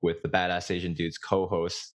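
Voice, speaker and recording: A man with a very low voice hosts a casual conversation. He thinks aloud, circling back and restarting his sentences. The sound is dry and close. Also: little pitch movement, moderate pace, no echo. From United States. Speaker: podcast host.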